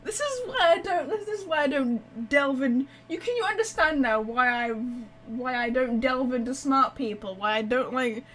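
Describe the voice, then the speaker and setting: A woman's voice: sits high, expressive, moderate pace, few pauses, fairly bright tone. A woman giving chatty, informal live gameplay commentary, thinking aloud as she goes. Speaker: game streamer.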